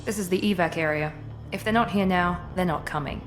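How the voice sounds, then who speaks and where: Game role: actress as a composed, lower-voiced woman agent, dry and condescending, music bed behind. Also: brisk, lightly acted. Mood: cool. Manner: cool, dry, faintly condescending. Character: cool, clipped woman officer, confident and cold. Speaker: Cerberus project director. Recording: game audio with music score.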